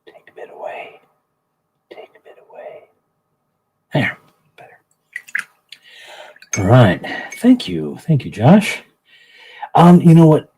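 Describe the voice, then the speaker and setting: A man hosting a livestream, chatting casually and muttering quiet asides to himself as he paints. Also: fairly high pitch, very animated, takes it slowly, creaky, pauses often, slight background noise. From Canada. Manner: soft, muttering to himself, drifting between quiet asides and chat. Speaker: livestream host painting miniatures. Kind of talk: casual chat while painting.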